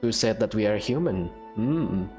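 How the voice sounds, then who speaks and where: A woman's voice: very deep voice, animated, normal speed, warm tone, soft background music. A woman gaming host giving casual let's-play commentary, reacting and thinking aloud as she plays.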